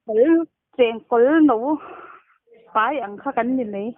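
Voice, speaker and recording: A woman with a high-pitched voice talks in a casual, conversational way on a phone call. The line is thin and narrow, cutting away the body of the sound, and it is quite dry with no room echo. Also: measured pace. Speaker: phone caller. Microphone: telephone.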